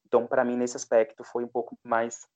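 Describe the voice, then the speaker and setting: A man's voice: mid-range voice, completely flat delivery, brisk, a little mellow, highs cut off. Reflective man. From Pernambuco, Brazil. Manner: reflective, with many restarts and fillers. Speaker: guest. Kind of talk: casual interview chat.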